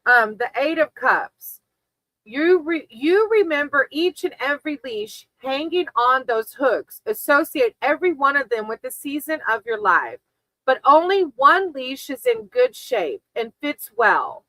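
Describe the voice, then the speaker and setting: Bright-voiced woman reading tarot live. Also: high voice, big pitch swings, unhurried, dry recording, rough recording. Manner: reassuring, encouraging. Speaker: tarot reader hosting a livestream. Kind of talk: casual live tarot card reading with chat.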